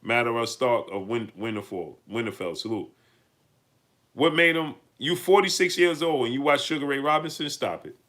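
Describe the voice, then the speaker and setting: Man, New York accent, livestream host, bright forceful voice, repeats points, heated. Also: mid-range voice, animated, normal speed. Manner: emphatic, repeats lines, rises to heated peaks. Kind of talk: live-stream chat, reading super chats.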